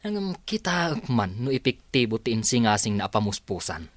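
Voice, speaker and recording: An actor playing an easygoing adult son in a family business in a radio drama, with an Ilocano Filipino accent. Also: male voice, fairly low pitch, big pitch swings, moderate pace, no echo. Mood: questioning.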